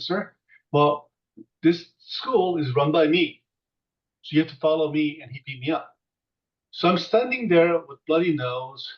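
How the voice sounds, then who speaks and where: Older Korean-born man, storytelling interviewee, rambling with fillers; mic set far off. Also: fairly high pitch. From South Korea. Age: 60s. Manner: rambling, many fillers and restarts. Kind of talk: reminiscing storytelling. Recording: distant muffled mic.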